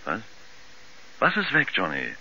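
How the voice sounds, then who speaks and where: An actor playing an alarmed doctor, urgently questioning a friend in bewilderment in a 1970s radio drama. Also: male voice, sits low, wildly swooping pitch, unhurried, creaky, pushed and bright, slight background noise, exaggerated voice. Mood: bewildered, concerned. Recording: muffled old radio play with music.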